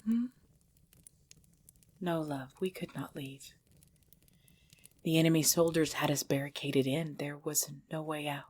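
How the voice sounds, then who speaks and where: A woman's voice: low voice, steady tone, husky. A woman voicing an ancient, possessive vampire lover in an intimate ASMR roleplay, speaking breathily and formally, often dropping into a whisper. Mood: somber, bitter. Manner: slow, formal, archaic phrasing, hushed.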